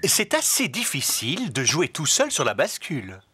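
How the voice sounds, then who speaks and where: A man narrating a children's cartoon in a calm, plain storytelling way. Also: on the high side, normal speed. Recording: cartoon dub with loud music.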